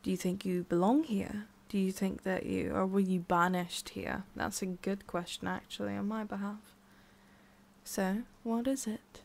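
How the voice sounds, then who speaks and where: Haughty forest witch, played by a woman, ASMR roleplay. Soft-spoken, halting, condescending, with whispery breaths and a hidden tender streak. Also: medium pitch, fairly even intonation, creaky. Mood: condescending, prying. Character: haughty, prickly tsundere forest witch, secretly soft-hearted.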